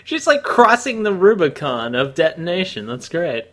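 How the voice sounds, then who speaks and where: A man's voice: fairly high pitch, very animated, normal speed, fairly bright tone. A man giving casual, wry joking commentary as co-host of a story reading.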